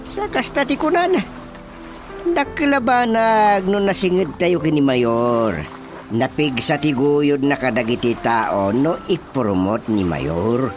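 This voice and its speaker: A man's voice: on the high side, animated, slow, music in the background. A man acting an older father figure in a radio drama, with an Ilocano accent, weighing up village politics. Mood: thoughtful, doubtful.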